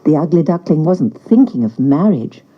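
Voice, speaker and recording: A woman narrating a scripted children's story in an expressive storyteller's style. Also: low-pitched, normal speed, highs cut off.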